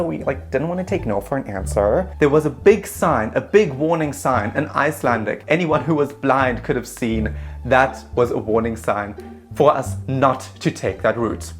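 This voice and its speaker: A man narrating his own travel story in a casual, self-mocking voice-over. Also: mid-range voice.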